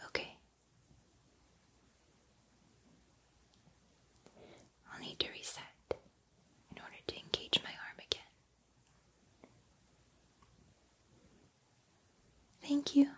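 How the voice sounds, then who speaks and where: A woman voicing a gentle, encouraging combat training robot in a soft, breathy, measured ASMR roleplay whisper. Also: lightly acted. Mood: warm, encouraging.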